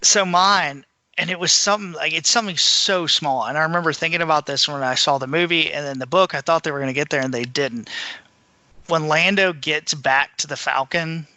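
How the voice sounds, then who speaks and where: A man's voice: a little high, a little fast, sharp tone, no room sound. A man co-hosting a podcast, talking casually as a fan and putting questions to the others. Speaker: podcast co-host.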